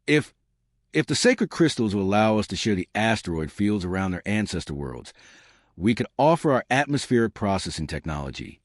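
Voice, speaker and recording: Male narrator as female winged alien envoy in a sci-fi audio story. Careful, measured, low register. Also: sing-song, dry recording, clean studio sound. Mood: measured, tentative.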